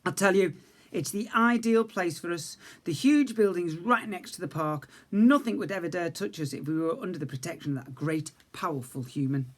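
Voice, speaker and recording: A man voicing an eager young male hedgehog in a storybook, earnest and polite, pitched a little higher than his own voice. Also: normal speed.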